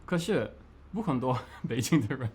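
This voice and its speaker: A man speaking as a guest in a casual chat over a video call. He talks slowly and pauses often on fillers while his pitch moves a lot. Faint room noise sits behind his voice. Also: animated, a little mellow. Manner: slow, halting, many fillers.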